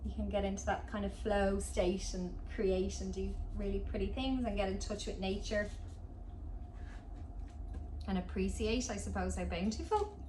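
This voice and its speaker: A woman's voice: medium pitch, fairly even intonation, moderate pace, darkish tone. A woman giving a chatty, informal hands-on tutorial as a florist, talking casually as she demonstrates. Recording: roomy echo, distant mic, background noise.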